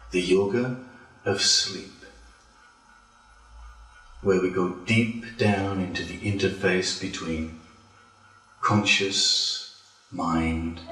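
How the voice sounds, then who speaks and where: A man leading a guided relaxation as a yoga instructor, speaking deliberately with pauses between phrases. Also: low-pitched, bright, forceful tone. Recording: roomy echo, distant from mic.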